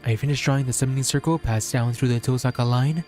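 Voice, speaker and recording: A man reads a visual novel aloud as dramatic first-person narration, measured and solemn, in short deliberate phrases. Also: medium pitch, darkish tone. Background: background music.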